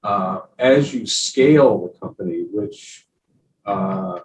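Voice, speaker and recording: A man moderating a panel, asking halting, measured questions. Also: on the low side, roomy sound, recorded from a distance, rough recording.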